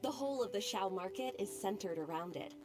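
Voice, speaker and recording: A woman's voice: mid-range voice, expressive, fairly quick, loud music behind the voice, lightly acted. A woman voicing a friendly, knowledgeable festival-goer in a video game, chatty and informative.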